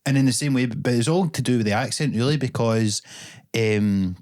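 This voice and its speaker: Guy hosting a pod, average pitch, rambly and laughy. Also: moderate pace, a little mellow. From Scotland. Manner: chatty, laughs often, frequent restarts. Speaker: podcast host. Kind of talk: casual chat.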